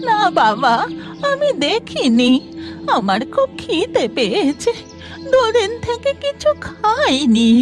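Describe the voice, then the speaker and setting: A woman voicing a meek, wretched, hungry beggar woman in a cartoon, pleading in a plaintive, drawn-out way. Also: very high-pitched, huge pitch swings, over music, full character voice. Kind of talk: cartoon story voice acting.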